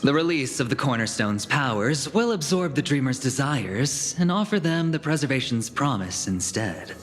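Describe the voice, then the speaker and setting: A man playing a self-assured, theatrical gambler in a video game. His voice sits at a middle pitch and taunts with smug showmanship. Long reverb surrounds it, with faint music underneath. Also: lively intonation, normal speed, lightly acted. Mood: triumphant, mocking.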